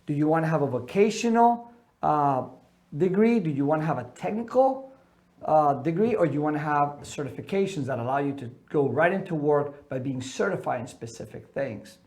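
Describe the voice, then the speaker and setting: A man's voice: a little high, animated, moderate pace, darkish tone. Earnest middle-aged man giving a seminar. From Ecuador. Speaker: military transition coach. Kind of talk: seminar talk.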